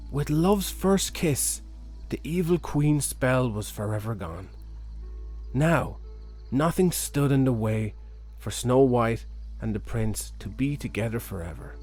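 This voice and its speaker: A man's voice: medium pitch, darkish tone. A man reading a fairy tale expressively as a children's storyteller.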